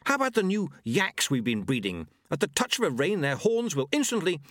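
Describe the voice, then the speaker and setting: A man acts out an excitable old monk who invents things, in a storybook reading. The voice is pitched high and swings about eagerly as he proudly demonstrates. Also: brisk, no room sound, clean studio sound.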